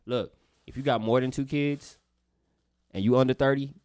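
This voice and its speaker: A man's voice: medium pitch, moderate pace, clean tone, no echo. A man in his twenties co-hosting a podcast, chatting casually, swearing often and laughing a lot in a small studio. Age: 20s. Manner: animated, profane, laughs a lot. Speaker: podcast co-host. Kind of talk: casual chat. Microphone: studio microphone.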